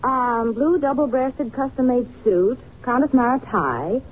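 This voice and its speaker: An actress playing an attentive secretary to a detective in an old-time radio drama. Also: female voice, a little high, animated, measured pace. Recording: phone-like old radio recording, faint noise.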